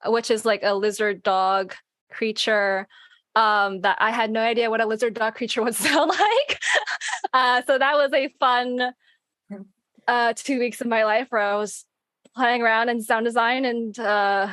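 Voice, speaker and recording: High-voiced playful woman, close and dry. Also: measured pace, a bit bright, no room sound. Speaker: panel guest, audio drama sound designer. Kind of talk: casual chat.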